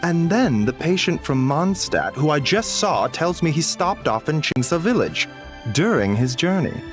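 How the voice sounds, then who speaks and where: An actor playing a gentle, modest doctor in a video game, speaking in a polite, formal, measured manner. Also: male voice, a little high, very animated, soft background music. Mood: concerned. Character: gentle, courteous male doctor, composed and modest.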